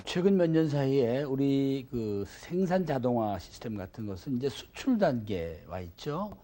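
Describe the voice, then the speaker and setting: A man's voice: mid-range voice, sing-song, normal speed, mellow, faint music behind. A man speaking as an expert, explaining in an interview.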